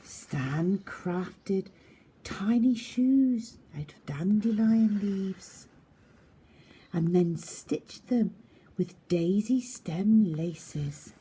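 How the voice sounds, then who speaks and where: A woman reading a children's story as narrator, dramatic and expressive, often dropping into a hushed tone. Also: medium pitch, extremely slow, breathy edge.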